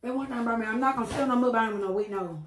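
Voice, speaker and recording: A woman chatting casually while eating on camera, with preachy asides, frequent laughs and a slightly hoarse voice, recorded on a close mic at home. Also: fairly high pitch, very fast, reverberant, background noise. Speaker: mukbang creator. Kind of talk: casual eating-show chat.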